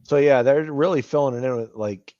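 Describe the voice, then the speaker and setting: A man's voice: medium pitch, brisk, mellow, no echo. A man co-hosting a casual podcast, playful and given to silly bits while chatting about old wrestling. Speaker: podcast co-host. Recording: dull, lo-fi mic.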